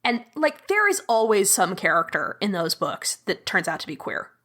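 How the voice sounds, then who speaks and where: Bright-voiced bubbly woman guest. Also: mid-range voice, sing-song, normal speed. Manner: bubbly, laughs often, full of fillers. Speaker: podcast guest, audio drama producer. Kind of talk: casual chat.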